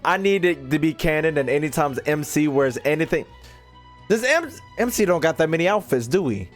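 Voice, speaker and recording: A man's voice: fairly high pitch, lively intonation, brisk. A young man doing casual live-stream commentary on a game, with playful, slangy exclamations. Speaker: gaming streamer reacting to a game. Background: game audio and music.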